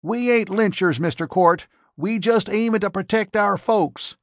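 Casting: grizzled old farmer spokesman, stubborn, drawling, pitched up high, read by a man. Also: animated, normal speed, no echo, clean studio sound. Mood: insistent. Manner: rural drawl, folksy grammar.

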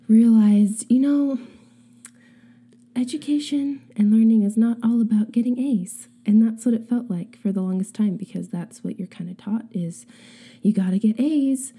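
Young woman, tired, giggly, half-whispering, warm husky voice. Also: mid-range voice, normal speed. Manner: giggly, often dropping to a whisper. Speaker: guest, host's wife. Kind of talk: casual chat.

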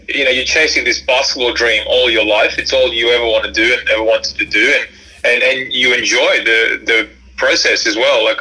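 A man's voice: mid-range voice, sharp tone, rough recording. A man telling his story as a podcast guest in a candid, conversational way, often repeating words for emphasis. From Australia, born in Croatia. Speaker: podcast guest, former professional basketball player.

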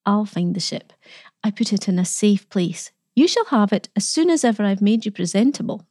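A woman's voice: medium pitch, animated, moderate pace, no room sound, studio quality, close to an everyday voice. A woman voicing a harassed, anxious mother in a storybook, rebuking and pleading with her young son. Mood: placating. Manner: sharp rebukes turning to pleading.

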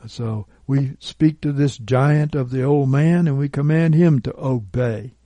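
A man's voice: mid-range voice, a little slow, mellow, no room sound. A man preaching and praying informally as a Bible teacher, earnest and plain-spoken. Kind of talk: informal sermon and prayer.

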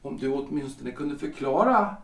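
An actor playing a troubled husband in his forties, a politician of 1906, in an audio drama with halting, repetitive, formal speech. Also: a little high, expressive, gravelly. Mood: bewildered, pleading. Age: 40s. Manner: halting, repeats words, formal. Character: wounded, agitated husband, lawyer and politician of forty-three. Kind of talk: period stage-play audio drama acting. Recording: roomy, distant from the mic.

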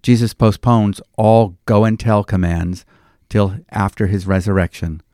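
A male pastor reads devotional commentary on scripture. His voice sits low and has a mellow, dark tone. He speaks deliberately and in a preacherly way, in a very dry recording. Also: fairly even intonation, no room sound.

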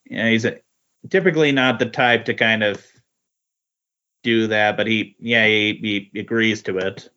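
A man's voice: fairly low pitch, steady tone, moderate pace, pushed and bright. A man chatting casually as a podcast guest, hesitant and often trailing off, on a dull, lo-fi recording. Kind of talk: casual chat. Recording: dull, lo-fi sound.

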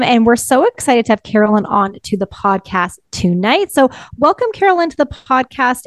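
A woman from Canada's West Coast co-hosts a podcast with friendly chat. Her voice sits fairly high and she slips in ums and uhs. The recording is close and dry. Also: no echo. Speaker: podcast co-host.

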